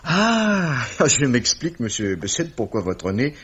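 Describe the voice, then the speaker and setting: An actor playing a middle-aged police commissioner, formal, urbane and probing, in 1950s French radio drama style. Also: male voice, mid-range voice, sing-song, unhurried, fairly bright tone, exaggerated voice. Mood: courteous. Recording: dull, slightly rough old radio recording.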